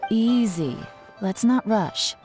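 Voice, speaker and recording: A woman's voice: fairly high pitch, expressive, slow pace. A woman playing a shrewd, composed female spymaster in a video game, poised and coolly commanding. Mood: cool, knowing. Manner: poised, assured, instructive. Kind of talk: English video game voice acting. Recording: music behind the voice.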